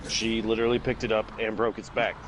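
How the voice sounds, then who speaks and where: A man's voice: lowish voice, level tone, fast talker, poor audio. A young man chatting casually and telling stories in a crude, sweary way, acting out the dialogue as he goes. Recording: vehicle and road noise.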